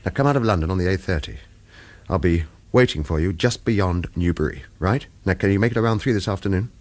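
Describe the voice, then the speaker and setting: A man's voice: low voice, quick pace. An actor playing a wry, wary ex-agent on the run who tells his own story in a terse, hard-boiled radio thriller style. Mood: firm, urgent. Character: retired male agent turned wary hunted fugitive, wry. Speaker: lead character and first-person narrator. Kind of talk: radio thriller acting and narration.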